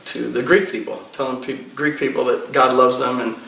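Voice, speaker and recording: A man's voice: medium pitch, little pitch movement, brisk, creaky, pushed and bright. A man who is a pastor, answering interview questions earnestly, with a rambling, filler-heavy way of talking in his living room. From United States. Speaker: pastor and political candidate. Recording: echoey room, mic at a distance, faint background noise.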